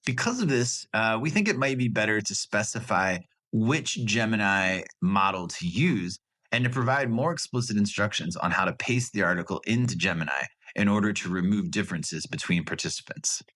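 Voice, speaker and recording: A man with a low voice and a bright, forceful edge. He presents a recorded class project, talking it through conversationally and dropping in dry asides. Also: lively intonation, moderate pace. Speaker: graduate student presenter.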